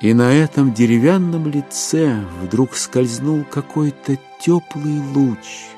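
A man narrating a literary audio drama as a measured, scripted storyteller. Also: mid-range voice, warm tone, soft background music, lightly acted. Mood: grave.

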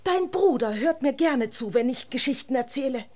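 An actress playing a vain, teasing young princess who chatters in a haughty, theatrical way in a radio drama. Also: female voice, high-pitched, animated, normal speed, noisy background. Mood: defensive chattering.

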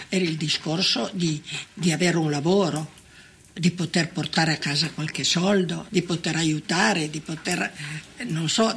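An older man giving personal testimony as a former partisan, reflecting in a halting, emphatic way. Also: a little high, vocal fry, a bit bright, slight background noise. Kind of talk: recollective oral testimony.

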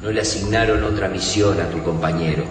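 An actor with a Rioplatense Argentine accent playing a defiant, cunning resistance fighter in a radio drama, insistent and challenging. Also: male voice, deep voice, fairly even intonation, brisk, a little hiss or hum, natural voice. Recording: echoey reverb, music behind.

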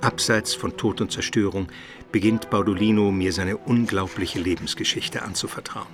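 A man playing a dignified Byzantine court historian, narrating a radio play in a formal, measured way. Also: on the low side, fairly even intonation, over music, lightly acted. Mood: grave, grateful.

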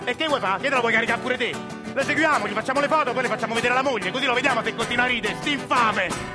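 A man's voice: very high-pitched, racing, loud music behind the voice. A man playing a hot-tempered Roman dad. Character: excitable middle-aged Roman father, scheming and hot-tempered.

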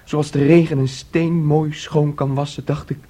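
Actor as troubled, husky, dreamy young man. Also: fairly high pitch, flat delivery, mellow, lightly acted. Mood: dazed, brooding. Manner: brooding, dreamy, halting. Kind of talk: radio play acting.

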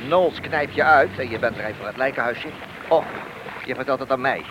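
Man playing a fast-talking, menacing police detective. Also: medium pitch, highs cut off, background noise, close to an everyday voice. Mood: hard, bargaining. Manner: threatening, commanding. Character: hard, menacing male police detective squeezing an informer. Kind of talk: radio play acting.

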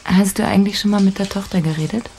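A woman acting a wry, self-possessed lover in an intimate radio drama scene. Her voice is soft-edged and dark, with a gravelly creak, and she teases without hurry. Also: medium pitch, fairly even intonation, quick pace, lightly acted. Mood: teasing, intimate.

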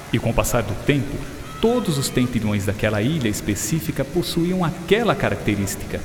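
An actor playing a patient, earnest English gentleman naturalist who explains things and drops in English interjections, in an educational radio drama. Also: medium pitch, natural voice. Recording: reverberant hall sound with music underneath.

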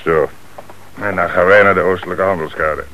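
A very low male voice, an actor's as a police commissioner in a radio play. He is calm, authoritative and businesslike, on an old recording with faint noise. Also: normal speed, dull audio, natural voice. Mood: calm authority. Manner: businesslike questioning and orders.

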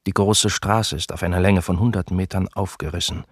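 A man playing a grave chronicler of disaster in a documentary radio play, with a husky, gravelly, deliberate delivery. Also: low-pitched, fairly even intonation, dry recording, clean studio sound. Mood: grave, hushed. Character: grave male chronicler witnessing a burning, ruined city.

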